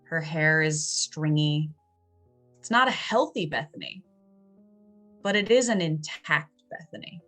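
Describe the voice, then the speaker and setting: Unhurried woman improvising a tabletop story. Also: deep voice, animated, a bit bright. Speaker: player or storyteller in a horror tabletop game. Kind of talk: improvised narration and casual chat in a tabletop game. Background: faint music.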